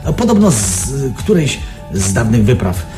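An actor playing a gossipy, dismissive sanatorium resident in a Polish radio drama, chatty and a little hesitant. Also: male voice, medium pitch, sing-song, moderate pace, music in the background, natural voice.

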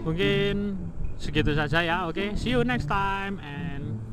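A man's voice: sits high, slow pace. A man, chatting informally as a motovlogger reviewing while he rides and repeating himself. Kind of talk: casual vlog review while riding. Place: outdoors on a moving motorcycle at night. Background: loud music, vehicle engine.

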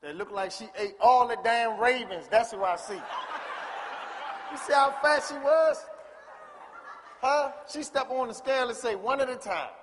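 A man's voice: very high-pitched, moderate pace, pauses often. An actor playing a brash, joking young man with slangy, casual delivery in a comic stage play. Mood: mocking. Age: young adult. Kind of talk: comedic stage play acting. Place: large theater stage before an audience. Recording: echoey hall, noisy audience, background hiss.

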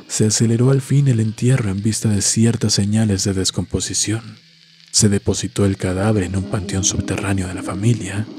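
Low male narrator doing a scary story, measured n solemn, music in the back. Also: darkish tone. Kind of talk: scripted horror story narration. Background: background music.

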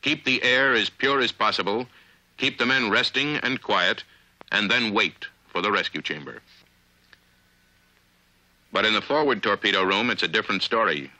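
American guy doing old 50s navy training film narration, low voice, really sharp and forceful, measured, vintage soundtrack. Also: low-quality recording. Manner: instructional, measured, authoritative. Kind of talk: 1950s military training film narration, scripted.

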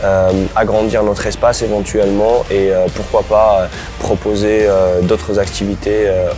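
A young man with a somewhat low voice, speaking sincerely as a gym co-owner and coach. He pauses often on fillers between phrases. Clear music runs behind him. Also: flat delivery. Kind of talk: interview testimonial. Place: large indoor gym. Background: background music.

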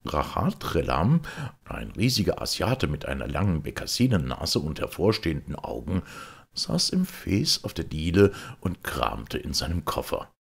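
A man reading a literary story as an audiobook narrator, in an expressive storytelling manner. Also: rumbling low, moderate pace, vocal fry, slightly rough.

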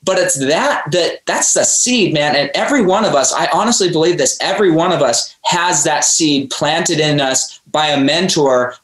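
A man's voice: fairly high pitch, expressive, normal speed, few pauses, a bit bright, roomy sound. A man hosting a podcast, talking earnestly and preachily, addressing his listeners directly. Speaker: podcast host. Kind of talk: motivational interview talk.

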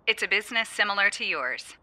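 An actress playing a polite, patient telephone receptionist in an audio drama, speaking formally. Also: female voice, medium pitch, animated, quick pace, bright, forceful tone. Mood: polite, insistent. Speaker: telephone operator.

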